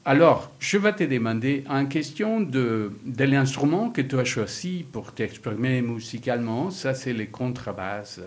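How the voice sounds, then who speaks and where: A man's voice: mid-range voice, a little slow, few pauses. A man hosting an interview, switching languages as he introduces his guest and asks questions. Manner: switches languages mid-sentence, lively. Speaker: interviewer and host.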